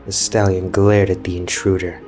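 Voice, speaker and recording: Low-voiced male story narrator. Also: little pitch movement, moderate pace. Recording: music behind the voice.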